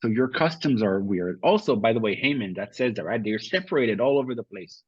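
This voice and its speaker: Israeli man, husky voice, dry sound. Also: fairly low pitch, expressive, fairly quick, a little mellow, no room sound, muffled recording. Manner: thoughtful, with frequent restarts and uh fillers. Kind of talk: interview answers.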